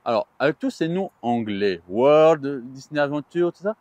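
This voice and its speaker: A man's voice: on the high side, lively intonation, moderate pace, a little mellow, dry recording, dull audio. A man co-hosting a chatty vlog, talking in a playful, joking way. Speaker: vlog co-host. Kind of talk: chatty theme park news vlog.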